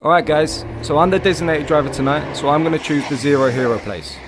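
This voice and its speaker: A man reading an upbeat advertisement in a casual sales pitch. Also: quick pace, background noise. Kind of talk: radio ad read. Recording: ad with clear music behind.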